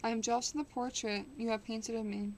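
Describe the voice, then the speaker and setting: A woman's voice: on the high side, fairly even intonation, fast, a little hiss or hum, natural voice. A woman voicing a vain young man who dreads growing old, reading in her own voice with small stumbles. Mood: jealous. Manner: reads with small stumbles and restarts. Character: young man, vain, anxious about aging.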